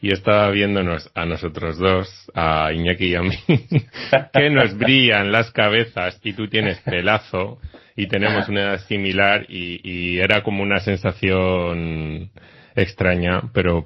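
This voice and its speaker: A low-voiced man co-hosting a radio show talks in a relaxed, chatty way, with apologetic asides. He comes through a video call with a dull, lo-fi sound. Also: a little slow. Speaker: radio co-host. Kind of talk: casual radio chat.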